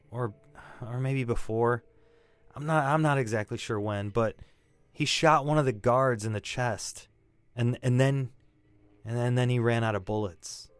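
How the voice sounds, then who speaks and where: An actor playing a shaken young male robbery suspect in an audio drama, defensive and grieving, halting and stammering as he talks. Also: medium pitch, clean tone, no room sound, natural voice. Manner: halting, stammering, repeating himself. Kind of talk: naturalistic audio drama acting.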